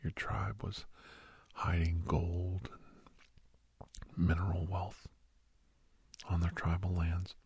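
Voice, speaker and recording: A man playing a kindly doctor in an ASMR roleplay, speaking in a hushed, breathy whisper with pauses. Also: deep voice, flat delivery, full character voice. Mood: hushed, knowing. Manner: hushed, unhurried, with pauses and soft chuckles. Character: kindly doctor advising a young werewolf, old family friend.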